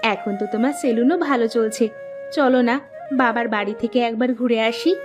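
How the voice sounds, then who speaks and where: Woman voicing a high-pitched nagging young village wife. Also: fairly even intonation, normal speed, over music, lightly acted. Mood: cheerful, eager. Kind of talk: Bengali cartoon voice acting.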